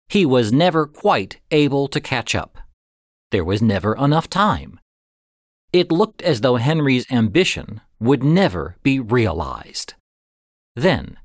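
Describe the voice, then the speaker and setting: A man reading a short story aloud as an audiobook narrator, with an expressive, suspenseful storytelling delivery. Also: medium pitch, dry recording, studio quality. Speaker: audiobook reader.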